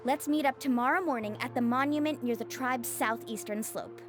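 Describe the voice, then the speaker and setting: An actress playing an upbeat, headstrong young tour guide in a video game, breezy and assured. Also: female voice, fairly high pitch, normal speed, over music, lightly acted. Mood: encouraging.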